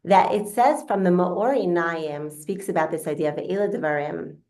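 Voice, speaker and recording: Low-voiced woman teaching spirituality. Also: moderate pace, a little mellow. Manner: conversational, repeats phrases for emphasis. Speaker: religious teacher. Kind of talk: spiritual class, informal teaching.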